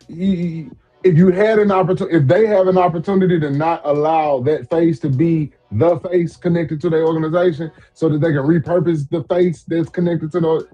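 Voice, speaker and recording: A man's voice: fairly high pitch, dark tone, lo-fi sound. A man in his forties co-hosting a podcast, talking loosely and emphatically in slang with plenty of repeats. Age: 40s. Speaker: podcast co-host. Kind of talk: casual sports chat.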